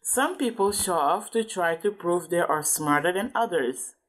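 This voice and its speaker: A woman's voice: on the low side, expressive, measured pace. A woman reading aloud to a child as a parent, explaining things and checking understanding with questions.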